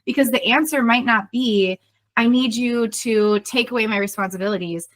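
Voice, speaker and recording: A woman's voice: on the high side, normal speed. A middle-aged woman consultant talking in a conversational interview, explaining earnestly with everyday example questions. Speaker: leadership consultant and podcast co-host, being interviewed.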